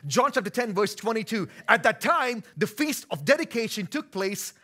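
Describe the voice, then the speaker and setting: Indian accent pastor guy, kinda high bright voice, chatty then really pushing it hard, church stage. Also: expressive, moderate pace. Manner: conversational, rising to forceful emphatic preaching. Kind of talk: sermon.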